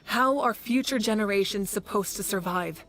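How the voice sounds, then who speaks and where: Woman acting a resolute female leader, video game, medium pitch, earnest formal delivery, soft music underneath. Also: normal speed, lightly acted. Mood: firm, defiant. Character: resolute, dutiful female leader of a fantasy nation.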